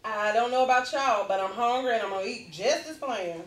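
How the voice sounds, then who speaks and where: A woman reads the part of a brash, irritable mama with a high voice. She talks in a folksy drawl, heard from a distance in an echoey room at a table read. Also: a little fast, a bit bright, close to an everyday voice. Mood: brash, fed up. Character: irritable, worried Southern party-hosting mama. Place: conference room around a long table. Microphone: camera mic across the room.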